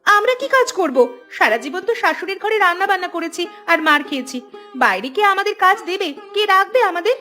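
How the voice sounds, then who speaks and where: A timid young village sister-in-law from a cartoon, put on by a woman. Her voice is very high and she talks in an anxious, questioning way, fretting over what comes next. There is faint music underneath. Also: expressive, quick pace, bright, forceful tone, full character voice. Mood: fearful. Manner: fretful, questioning. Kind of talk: cartoon voice acting.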